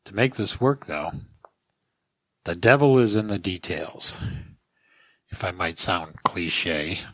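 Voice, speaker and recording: A man with a fairly low voice hosts as the resident expert. He gives technical advice in a conversational way, and the recording is very dry, with no audible room. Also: animated, takes it slowly, a bit bright, dry recording. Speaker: podcast host and expert answering questions.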